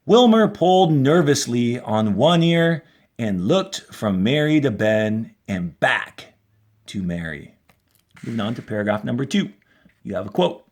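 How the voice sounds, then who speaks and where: A man, a fourth grade teacher, reading a story aloud to a class in a deliberate, instructional manner. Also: medium pitch, expressive, darkish tone. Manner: deliberate, instructional, clearly announcing paragraphs and quotes. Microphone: handheld camera held while reading.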